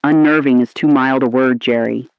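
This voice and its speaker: A man playing an ominous, appalled 1950s radio anchor, delivering scripted lines in a grave, deliberate, theatrical style. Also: mid-range voice, fairly even intonation, creaky, smooth, no room sound, narrow, tinny audio, close to an everyday voice. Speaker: radio host.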